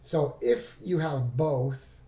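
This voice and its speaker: A man with a raspy, hoarse voice recovering from laryngitis, giving an informal, halting screen-share tutorial as a trading instructor. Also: medium pitch, fairly even intonation, mellow. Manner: halting, thinking aloud. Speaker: trading instructor reviewing charts. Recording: roomy, echoing voice recording.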